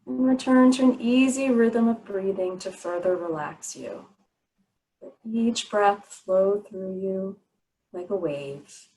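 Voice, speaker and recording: Female meditation guide, medium pitch, soothing and unhurried, pausing between short instructions. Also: mellow, poor audio. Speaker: yoga nidra meditation teacher.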